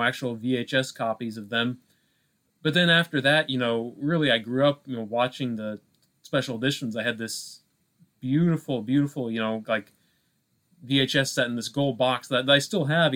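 A man co-hosting a podcast in a casual film discussion, talking haltingly with repeated words and lots of filler phrases. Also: medium pitch, a bit bright. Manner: halting, repetitive, peppered with "like" and "you know". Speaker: podcast co-host.

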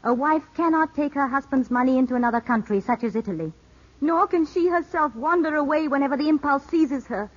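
An actress playing an earnest, anxious young woman with a husky voice in a 1950s radio drama. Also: high voice, brisk, natural voice. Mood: reproachful. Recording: dull 1950s radio recording.

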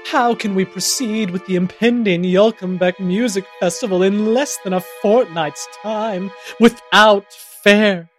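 A man's voice: sits high, steady tone, unhurried, hardly any pauses, a bit bright, faint music behind. A man playing a pompous, theatrical narrator performing a homemade comic audio play. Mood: grandiose, impassioned. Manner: grandiose, theatrical. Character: pompous, melodramatic play narrator addressing his townsfolk. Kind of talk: comic amateur audio drama.

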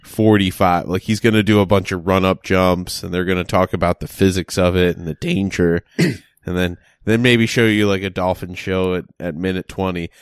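A man's voice: sits low, flat delivery, moderate pace, clean tone, dry recording, studio quality. A young man in casual chat, joking in a laid-back way with lots of fillers.

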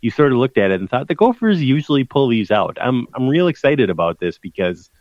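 A man's voice: mid-range voice, very animated, fairly quick, a little mellow, no echo, highs cut off. A man co-hosting a casual sports podcast, explaining college football numbers in a conversational way with frequent restarts. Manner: conversational, stat-heavy, restarts mid-sentence.

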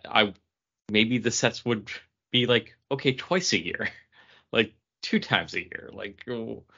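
Bright, forceful low-voiced man chatting. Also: muffled recording. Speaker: podcast co-host. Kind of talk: casual chat.

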